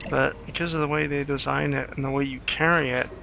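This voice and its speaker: A man's voice: mid-range voice, fairly even intonation, fairly quick, vocal fry, bright, forceful tone, dull audio, a little hiss or hum. A man giving casual playthrough commentary over a video game, repeating words as he reacts to the play. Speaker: gamer and screenwriter commentator. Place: recorded over gameplay with music.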